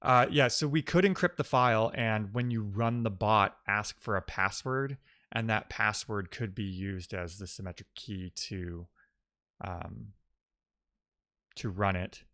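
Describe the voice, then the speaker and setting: A man who streams live coding, chatting casually and musing out loud in loose fragments as he works. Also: lowish voice, measured pace. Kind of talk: casual thinking-aloud coding stream chat.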